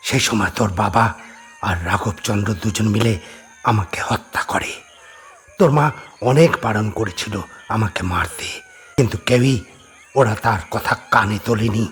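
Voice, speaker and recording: A man acts the vengeful ghost of a stern holy man in a horror audio drama. His low voice is solemn and emphatic, built for command. Slight noise lies under the recording. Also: moderate pace, pauses often, a bit bright, natural voice.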